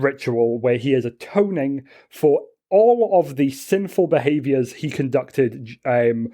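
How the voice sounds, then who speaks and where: A man narrating his character in a tabletop roleplaying game with deliberate, literary wording. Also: on the high side, a little mellow.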